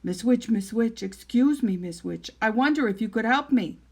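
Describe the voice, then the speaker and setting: An earnest young storybook prince, as a woman reads him, pleading and insistent. He is polite but easily flustered, in a high voice that moves up and down a lot. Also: expressive, normal speed, husky.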